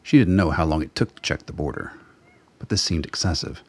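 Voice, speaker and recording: A man's voice: extremely low pitch, brisk, no room sound. A man narrating a scripted story for a radio play.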